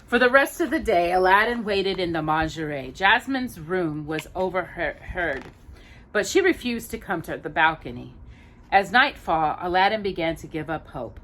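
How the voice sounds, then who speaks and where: A woman reading a children's story aloud expressively, pausing to put questions to a young listener. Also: on the low side, expressive, a bit bright, a little hiss or hum, rough recording. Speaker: storyteller and host.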